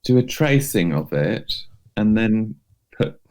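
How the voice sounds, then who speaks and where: A man's voice: on the low side, sing-song, takes it slowly, darkish tone. A man co-hosting a podcast, chatting casually, reacting and asking questions. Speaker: podcast co-host.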